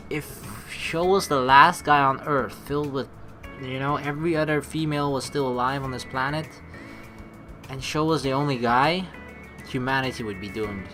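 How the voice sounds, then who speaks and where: Animated, bright-voiced man doing let's play commentary. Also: fairly high pitch. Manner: rambling, slangy, exasperated asides, profane. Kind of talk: casual gameplay commentary, reading story text aloud.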